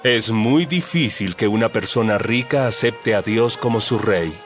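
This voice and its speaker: A male actor playing a calm, authoritative religious teacher in a dramatized audio Bible, speaking in solemn, measured, emphatic phrases. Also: lowish voice, faint music behind, lightly acted. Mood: earnest.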